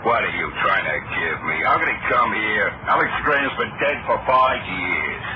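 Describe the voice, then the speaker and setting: An actor playing a brash, wisecracking police officer, scoffing and colloquial, in old-time radio drama style. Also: male voice, on the low side, expressive, normal speed, close to an everyday voice. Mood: scoffing, amused. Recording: muffled, very noisy old radio recording.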